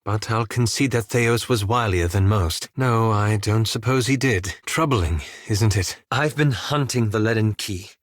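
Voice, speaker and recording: A man playing a reserved, scholarly, self-doubting young elf adventurer in a video game, speaking in a formal, measured, wryly understated way. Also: lowish voice, no echo, studio quality, close to an everyday voice. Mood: reflective. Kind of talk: video game companion dialogue.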